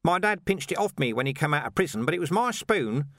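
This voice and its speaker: A man's voice: a little high, very animated, moderate pace, a bit bright, no room sound, studio quality, pitched above the performer's own voice. A man voicing a scruffy, persistent, plain-spoken watchman in an audiobook. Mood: wistful, insistent. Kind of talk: audiobook character voice.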